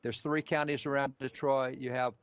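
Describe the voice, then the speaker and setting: A man's voice: medium pitch, little pitch movement, moderate pace, clean tone. A man working as an election analyst, giving live commentary on incoming figures in an analytical, carefully qualified way. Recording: dry, muffled lo-fi feed.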